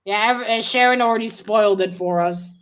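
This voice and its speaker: Woman, show host, slightly high-pitched, casual and conversational, halting with repeated words. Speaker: host of a book read-along show. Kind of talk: casual chat.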